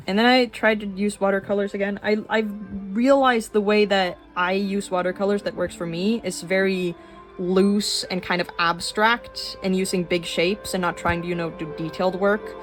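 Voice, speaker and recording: A woman of twenty-one chatting casually in a rambling way while showing her sketchbook, with fillers and quick laughs. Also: mid-range voice. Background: soft background music.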